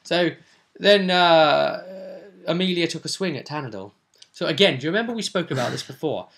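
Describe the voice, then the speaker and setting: A man's voice: fairly high pitch, expressive, moderate pace, gravelly, fairly bright tone. A man chatting casually as a game master, recapping a tabletop role-play session and acting out bits as he goes.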